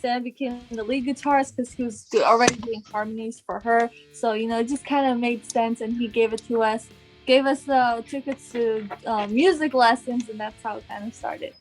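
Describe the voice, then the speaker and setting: A woman's voice: fairly high pitch, steady tone, moderate pace, faint music behind, low-quality recording. A woman of twenty, the band's drummer, eagerly jumping in to add details to the story. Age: 20s. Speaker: drummer in a family band.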